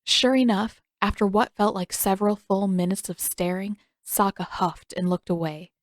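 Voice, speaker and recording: A woman reading scripted fiction aloud as an expressive story narrator. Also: medium pitch, normal speed, no room sound, clean studio sound.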